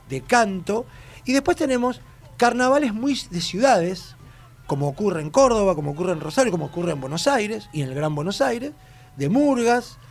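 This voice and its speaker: A man with an Argentine accent hosting an educational radio talk, rambling and animated, often repeating himself. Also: high-pitched, creaky. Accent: Argentine Spanish. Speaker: radio host.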